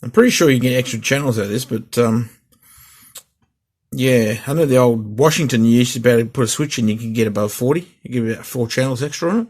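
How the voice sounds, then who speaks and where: A man's voice: mid-range voice, quick pace, a little mellow, husky. A middle-aged man chatting casually through a radio unboxing and repair, rambling with fillers and restarts. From Australia. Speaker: hobbyist vlogger.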